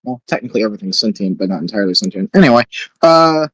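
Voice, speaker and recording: A man's voice: fairly low pitch, lively intonation, rapid-fire, a bit bright, husky, dry recording. A man chatting casually as a player in a tabletop role-playing game, asking questions and stating his character's moves.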